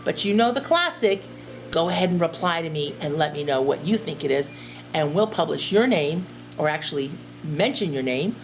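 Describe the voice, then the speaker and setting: Expressive, lively woman teacher reading aloud. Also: low voice, normal speed, soft background music, low-quality recording. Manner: expressive, addresses young listeners directly. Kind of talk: children's storybook read-aloud and chat.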